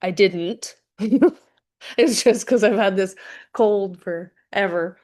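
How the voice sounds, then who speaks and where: A young adult woman, hoarse from a cold, talking chattily with frequent restarts as a fitness coach in a live session. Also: medium pitch, slow, a little mellow. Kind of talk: casual live-streamed talk to a chat.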